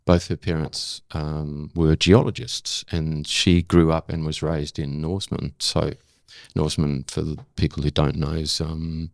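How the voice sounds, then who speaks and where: An Australian man of seventy talking reflectively in an interview, in an unhurried, halting storytelling way with emphatic repetition. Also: extremely low pitch, little pitch movement, vocal fry, dry recording, clean studio sound. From South Australia. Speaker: interview guest, former broadcaster.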